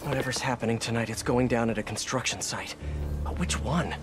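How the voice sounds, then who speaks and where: An actor playing an earnest, wisecracking young superhero in a video game, quippy and often talking to himself. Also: male voice, medium pitch, fairly quick, lightly acted. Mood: focused. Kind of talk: video game hero dialogue. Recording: loud music over voice.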